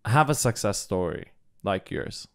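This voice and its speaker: Slow-talking man with a smooth voice. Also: lowish voice, big pitch swings, fairly bright tone, dry recording, studio quality. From Germany. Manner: laughs a lot, easygoing questions. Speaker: podcast host. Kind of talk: casual interview conversation. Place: podcast studio set. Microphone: studio mic on boom arm with headphones.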